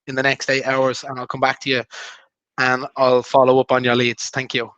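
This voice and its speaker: A man with an Irish accent, a recruiter guest on a chat show, easygoing and relaxed. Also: mid-range voice, fairly quick, smooth, no room sound, lo-fi sound. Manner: easygoing, relaxed, hesitant fillers. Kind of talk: casual interview talk.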